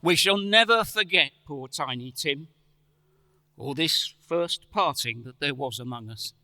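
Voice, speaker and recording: A man playing a gentle, grieving father in a radio play, halting and stammering as he holds back his sorrow. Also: a little high, expressive, no echo, full character voice. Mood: grateful, tender. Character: gentle grieving father, poor, tender, holding back tears. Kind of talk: theatrical radio play acting.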